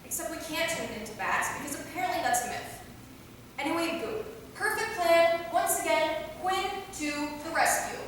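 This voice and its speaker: A high, sassy young vampire woman played by an actress, boastful and excitable in a theatrical way. She performs in a staged reading, heard from far off in a very echoey hall with clear background noise. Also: normal speed. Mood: cocky, excited. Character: cocky, mischievous, excitable young female vampire. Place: theater hall, recorded from a distance.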